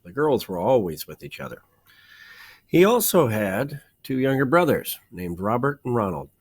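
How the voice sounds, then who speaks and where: A man reading a children's storybook aloud like a teacher, with deliberate phrasing, dramatic pauses and emphasis. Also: medium pitch, very animated, gravelly, husky. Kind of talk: expressive children's storybook narration.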